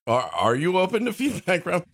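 A man's voice: sits high, huge pitch swings, brisk, fairly bright tone. A man co-hosting a comedy podcast in casual banter, wry and playfully teasing. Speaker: podcast co-host.

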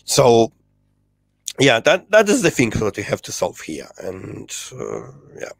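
A man's voice: mid-range voice, wildly swooping pitch, creaky, slightly rough. Polish-accented man talking on a headset. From Poland. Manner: earnest, halting with many fillers and restarts. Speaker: guest. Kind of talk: casual political conversation. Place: small home room, indoors. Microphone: headset on a video call.

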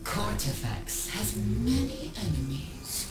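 An actor playing a solemn, cryptic otherworldly being in a video game, speaking in a portentous way. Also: male voice, high-pitched, big pitch swings, exaggerated voice. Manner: very slow, portentous. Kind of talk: video game character acting. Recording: echoey, distant, under loud music.

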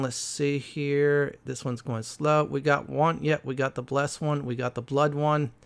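Higher-voiced man with a creaky edge. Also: fairly bright tone. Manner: halting, explanatory. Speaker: gaming host. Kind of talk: casual game walkthrough commentary.

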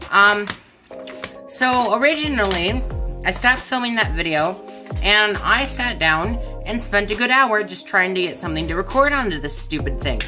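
Woman, casual rambling vlogger, exasperated, informal, medium pitch, music behind. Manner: informal, exasperated, mildly profane. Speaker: video maker. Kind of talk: casual rambling vlog, narrating a hands-on product test. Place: indoors in a home kitchen area.

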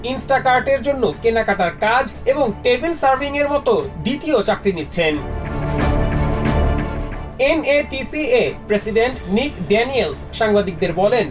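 A woman's voice: on the high side, lively intonation, moderate pace, far from the mic, faint music behind. A woman reporter delivering scripted news narration.

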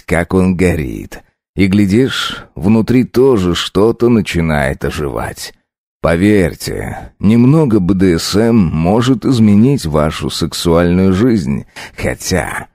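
Audiobook club host, acted by a man: low-pitched, courteous, intimate confider, unhurried, dry recording. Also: darkish tone, no room sound, exaggerated voice. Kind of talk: audiobook character narration.

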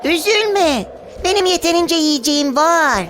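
A woman voicing a kind, wise ant in a children's animated fairy tale, speaking in an earnest, reassuring way. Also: extremely high pitch, unhurried, slight background noise, heavily acted. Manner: earnest, reassuring, gently instructive. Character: kind, caring, wise ant giving friendly advice.

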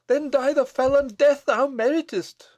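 A man's voice: very high voice, steady tone, measured pace, darkish tone, no echo, muffled recording, pitched above the performer's own voice. A man voicing a fierce, frantic peasant witch with impassioned, shrieking archaic speech in a gothic storybook. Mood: fierce, vindictive.

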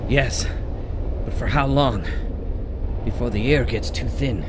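An actor playing a polite, earnest and bewildered adventure hero in an audio drama. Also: male voice, mid-range voice, slow, background noise, close to an everyday voice.

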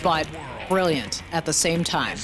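A woman's voice: low voice, lively intonation, unhurried. An actress playing a wary young-sounding woman out with a friend, in naturalistic audio drama dialogue. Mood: casual. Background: music.

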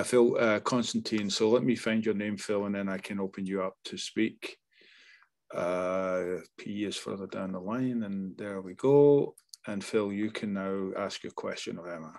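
Middle-aged man, low voice, moderator on a webcam call, loose and rambling delivery. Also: unhurried. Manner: rambling, repeats words, frequent restarts. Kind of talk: moderating an online Q&A. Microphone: webcam on video call.

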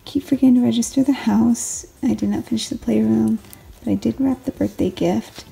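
Woman in her twenties, raspy, gravelly, dark-toned, rambling planner chat. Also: fairly high pitch, fairly even intonation, normal speed, rough recording. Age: 20s. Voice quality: raspy, late-night voice. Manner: rambling, self-correcting, soft. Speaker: planner vlogger, stay-at-home mom. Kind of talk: casual late-night planner walkthrough. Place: home desk, filmed late at night. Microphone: overhead camera on the desk.

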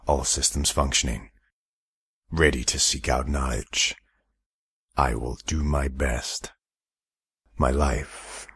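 Man doing an extremely deep, ancient war machine. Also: vocal fry, a bit bright, husky, dry recording, clean studio sound, full character voice. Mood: solemn duty. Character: ancient dutiful warrior machine, stern and devout.

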